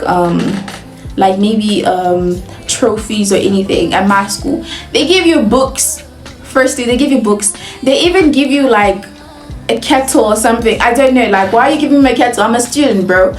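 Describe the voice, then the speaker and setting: Young nigerian girl vlogging, chatty and giggly, highish voice, soft music under. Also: roomy sound. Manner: chatty, animated, laughs often, heavy use of "like". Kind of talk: casual storytelling.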